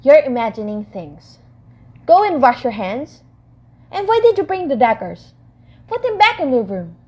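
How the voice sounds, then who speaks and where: Scheming noblewoman, played by a woman, high animated voice with a breathy edge, brisk orders, student radio drama. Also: dull audio, exaggerated voice. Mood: commanding. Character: scheming ambitious noblewoman, cold and commanding. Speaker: student voice actor.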